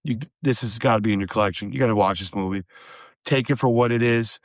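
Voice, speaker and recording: A man with a lowish voice talks through a horror film review in a loose, chatty way, full of fillers and calls to the guys listening. His voice carries a slight hoarseness from a cold he is getting over. The sound is dry and close, with no room around it. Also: quick pace, no room sound. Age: adult with a daughter. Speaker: podcast host reviewing horror films. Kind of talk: casual solo movie review.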